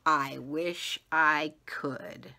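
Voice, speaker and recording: A sad, sensitive little mouse girl in a picture book, put on by a woman reading aloud. She mopes along very slowly, dragging out her words in a miserable way. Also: low-pitched, creaky, sharp tone, heavily acted. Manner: slow, drawn-out, mopey.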